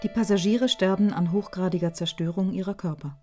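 A woman performs a clinical report narrator in a radio drama. Her voice is on the low side and entirely matter-of-fact, set over faint music. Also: fairly even intonation, brisk, darkish tone, natural voice. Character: clinical female narrator reading an accident report. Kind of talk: scripted documentary narration within radio drama.

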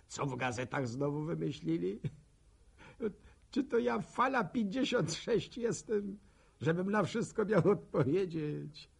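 Gravelly, husky village postman and gossip. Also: medium pitch. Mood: indignant, animated. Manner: folksy, rhetorical, unhurried storytelling. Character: nosy, talkative village postman, good-humoured gossip. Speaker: narrator of a radio drama. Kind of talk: folksy monologue in a rural radio drama.

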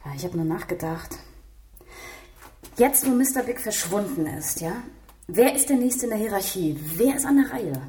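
A woman's voice: medium pitch, animated, moderate pace, warm tone, close to an everyday voice. A woman playing a sober, matter-of-fact police detective with a questioning manner in a German radio crime drama. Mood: thoughtful, probing.

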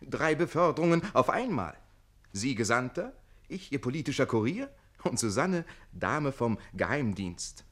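Casting: wry, ardent servant about to marry, sharp-witted and theatrical, classic radio drama. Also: male voice, medium pitch, sing-song, moderate pace. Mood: bitterly ironic. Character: quick-witted, ardent, indignant servant and bridegroom. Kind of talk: classic German radio play acting.

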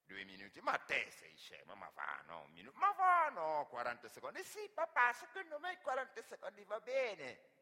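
An actor as callous, excitable bettors, father and son, in a theatrical monologue. The male voice bickers and leaps between low and high, very sharp, in a long hall reverb. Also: normal speed, heavily acted. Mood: excited, haggling.

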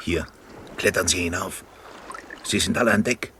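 A man playing a strong, placid, plain-spoken sailor in a German radio play, with a husky voice and simple, hesitant phrasing. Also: low voice, normal speed, pushed and bright, a little hiss or hum. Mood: placid, thoughtful.

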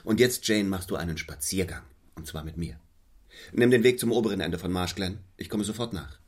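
A stern young clergyman in a literary radio drama. His voice sits fairly low and he speaks with cold, solemn control, pressing his will on the listener like an order. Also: brisk, darkish tone, dry recording, clean studio sound. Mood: cool, commanding. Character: St. John Rivers, stern, cold, domineering young clergyman missionary. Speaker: actor in a radio play.

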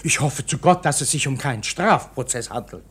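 Older uncle: fussy, alarmed, urgent, very animated pitch with some husk. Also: male voice, fairly quick, breathy edge. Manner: agitated, urgent, repeats words insistently. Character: fussy, alarmed older uncle anxious about family honour. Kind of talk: radio drama acting.

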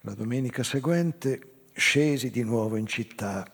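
An actor playing a melancholy old whaler in a measured, reflective theatrical monologue about his life. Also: medium pitch, vocal fry, fairly bright tone, husky, heavily acted. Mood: reminiscing. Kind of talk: literary dramatic monologue. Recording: reverberant stage hall, guitar music behind. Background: live guitar music.

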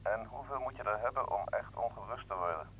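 An actor playing a calm, probing doctor in a radio play. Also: male voice, lowish voice, brisk, husky, rough recording. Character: calm, probing male doctor sounding out colleague. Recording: old radio play recording, faint noise.

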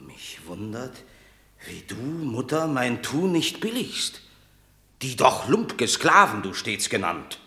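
An actor playing a proud, stubborn warrior son in a radio drama. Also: male voice, medium pitch, very animated, slow, sharp tone, roomy sound, exaggerated voice. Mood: scornful.

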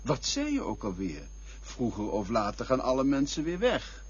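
A man's voice: medium pitch, wildly swooping pitch, moderate pace, breathy edge. A man voicing a cynical, mocking inner voice. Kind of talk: radio play acting. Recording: dull lo-fi radio play.

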